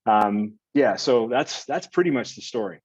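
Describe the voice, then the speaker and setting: A man from the United States talks through a story in easy, meandering podcast chat, full of fillers and restarts. The sound is dull and dry, as if from a modest mic. His pitch sits in an ordinary middle range. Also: normal speed, breathy edge, no room sound. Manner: relaxed, rambling storytelling with many fillers. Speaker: podcast co-host. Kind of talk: casual chat.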